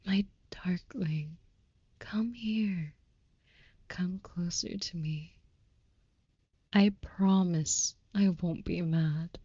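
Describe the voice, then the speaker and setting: Woman doing a slow soft-spoken vampire sweetheart, old-fashioned formal talk, devoted n protective, kinda mellow, audio roleplay. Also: lowish voice, husky, no room sound, lo-fi sound, exaggerated voice. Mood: tender, soothing. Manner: archaic, formal, tender phrasing. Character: devoted, overprotective vampire lover, centuries-old but youthful, courtly. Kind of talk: intimate audio roleplay addressed to the listener.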